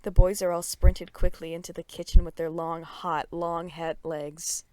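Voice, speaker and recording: A woman's voice: fairly low pitch, flat delivery, moderate pace, dark tone, dry recording. A woman reading a comic story aloud in a mock-dramatic, theatrical and playfully emphatic way.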